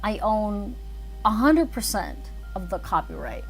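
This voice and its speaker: A woman's voice: mid-range voice, big pitch swings, a little slow, creaky, faint music behind, poor audio. A woman chatting casually and thinking aloud as she hosts an animation livestream. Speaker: animator and filmmaker streaming live.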